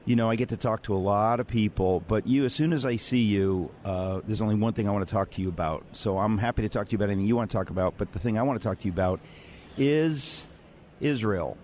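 A man's voice: lowish voice, quick pace, darkish tone. A man hosting a conversational radio interview in a wry, candid manner, speaking from a convention center. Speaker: talk show host. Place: on a platform in a large convention center. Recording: noisy crowded convention floor.

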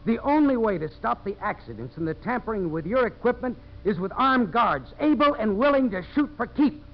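A tough, determined American hero of a vintage radio play, in a fairly high male voice. His intense delivery swings widely in pitch, on a muffled, slightly hissy old broadcast recording. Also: animated, a little fast. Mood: rousing, forceful. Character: tough, determined man turned earnest champion of settlers. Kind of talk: 1950s American radio drama acting.

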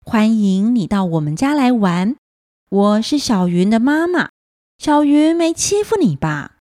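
A woman voicing a gentle, welcoming mother leopard with a soft voice, in a children's story. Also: a little high, sing-song, unhurried, no room sound, clean studio sound, voice pushed down. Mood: kindly.

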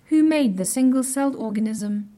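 A woman's voice: high voice, normal speed, mellow, natural voice. A woman playing a haughty, literal-minded artificial intelligence in an audio drama, speaking in stilted, precise, formal phrases, blunt and condescending. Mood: smug, matter-of-fact.

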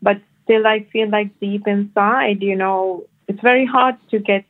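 A woman's voice: mid-range voice, steady tone, a little slow. A woman from Sri Lanka calling in, speaking hesitantly with many fillers. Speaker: caller. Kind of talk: phone-in testimony. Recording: phone line.

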